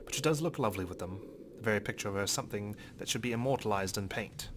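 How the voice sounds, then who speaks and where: A man's voice: lowish voice, quick pace, noisy background. A man reading visual novel text aloud as a let's player, with occasional brief commentary.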